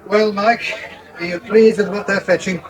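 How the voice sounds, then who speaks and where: An actor playing a sympathetic, considerate farming man, speaking politely and in a measured way in a naturalistic radio drama. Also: high voice, fairly even intonation, background noise, close to an everyday voice. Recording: pub chatter behind. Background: pub crowd.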